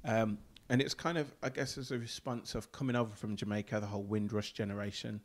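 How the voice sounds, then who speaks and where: A man in his thirties speaks with a low, gravelly voice. He talks easily and conversationally, laughing now and then, into a handheld mic in a small room. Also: fast talker. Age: 30s. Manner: relaxed, laughs often, many ums. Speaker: church leader, mission coordinator. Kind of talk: casual conversation.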